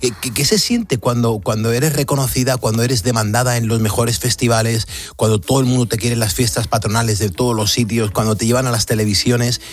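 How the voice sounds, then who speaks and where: A man's voice: medium pitch, fairly even intonation, fairly quick, hardly any pauses. A man hosting a casual interview, stammering and repeating words as he asks long questions. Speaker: interview host.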